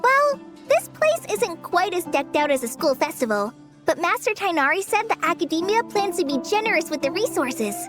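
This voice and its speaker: A woman's voice: very high voice, sing-song, moderate pace, fairly bright tone, soft background music, heavily acted. A woman voicing an earnest, polite teenage girl ranger in a video game, with a youthful, girlish sound.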